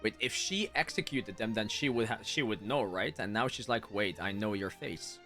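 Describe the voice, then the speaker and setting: A man livestreaming a game, chatting casually with viewers and thinking out loud as he reacts. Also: fairly low pitch, expressive, moderate pace, faint music behind. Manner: chatty, reads chat aloud, thinks out loud. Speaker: game streamer.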